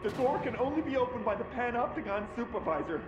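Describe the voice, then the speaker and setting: High-voiced panicky man, muffled echo. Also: lively intonation, fast talker, background noise. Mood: frantic. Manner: stammering, pleading. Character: panicky, exhausted male employee pleading for rescue. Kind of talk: video game voice acting. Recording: muffled, echoey cell with music behind.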